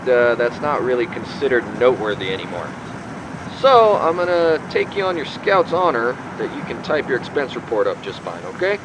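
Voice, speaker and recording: An actor playing a chatty, awkward office supervisor in an audio drama, talking in a halting, rambling, conversational way. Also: male voice, on the high side, noisy background. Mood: mildly condescending.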